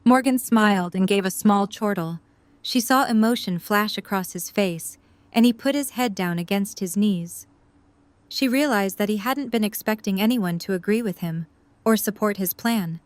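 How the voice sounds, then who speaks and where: A woman's voice: mid-range voice, normal speed, dry recording. A woman reading scripted third-person narration for an audio drama.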